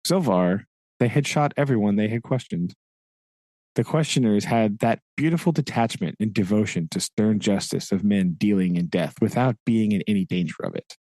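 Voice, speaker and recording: A man's voice: fairly low pitch, moderate pace, warm tone, no echo, poor audio. A man co-hosting a podcast, casually retelling a novel's events with frequent "right?" checks and occasional laughs. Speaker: podcast co-host. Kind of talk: casual book discussion.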